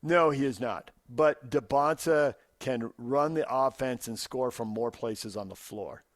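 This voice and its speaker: A man's voice: mid-range voice, unhurried, no echo, studio quality. An American man co-hosting a sports radio show, arguing his opinions point by point in unscripted debate.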